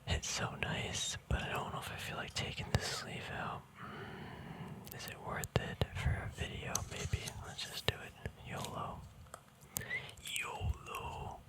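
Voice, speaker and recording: A man whispering casual ASMR to viewers in a breathy, unvoiced voice, rambling and thinking aloud without hurry, very close to the mic. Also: slow pace. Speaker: ASMR host. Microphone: mic very close to the mouth and nose.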